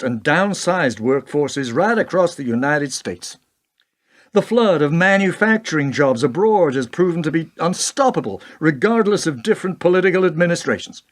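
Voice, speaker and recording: A man acting the part of an outraged, principled president in a radio play, questioning in an indignant, emphatic way. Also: a little high. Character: outraged, principled American president challenging his adviser.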